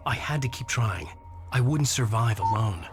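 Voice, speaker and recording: A man plays a weary male writer caught in a nightmare in a video game. His delivery is terse and brooding, with animated swings in pitch and loud music behind him. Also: moderate pace, close to an everyday voice. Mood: grim, reflective. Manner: terse, exasperated. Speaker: game protagonist and narrator. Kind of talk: brooding scripted narration and dramatic game dialogue.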